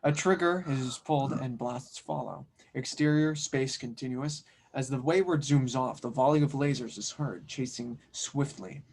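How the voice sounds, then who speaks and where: A man with a mid-pitched voice serves as narrator. He reads stage directions and scene headings in a scripted style for an audio drama table read. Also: moderate pace, few pauses, a little mellow, slight background noise.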